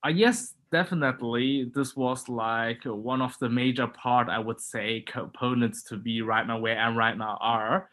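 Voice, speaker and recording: A man's voice: medium pitch, fairly even intonation, measured pace, few pauses, fairly bright tone. Guy in his 30s, podcast guest, lots of uhs and likes. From Germany. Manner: hesitant, many "like" and "uh" fillers. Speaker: entrepreneur guest. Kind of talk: podcast interview.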